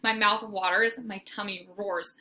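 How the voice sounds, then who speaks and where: A woman's voice: mid-range voice, fairly even intonation, measured pace, bright, forceful tone. A woman reading aloud as a teacher would, narrating a children's story expressively with dramatic emphasis.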